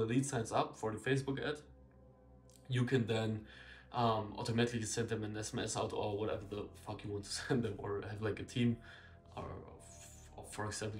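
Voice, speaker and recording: Rambling young man, faint music behind. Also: mid-range voice. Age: young adult. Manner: rambling, filler-heavy, frequent self-corrections; candid and blunt, casual swearing. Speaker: marketing consultant. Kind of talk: casual talking-head video. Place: small indoor room with a window.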